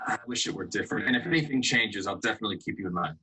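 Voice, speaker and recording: A man playing a polite, brisk TV showrunner in a screenplay table read. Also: on the low side, expressive, bright, forceful tone, low-quality recording. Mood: politely dismissive. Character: polite, brisk male TV showrunner turning someone down.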